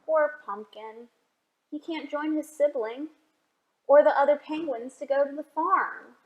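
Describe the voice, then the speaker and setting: Woman, children's storytime reader, unhurried, expressive, questioning asides, echoey room. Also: high voice, phone-quality sound. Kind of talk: children's picture-book read-aloud with asides.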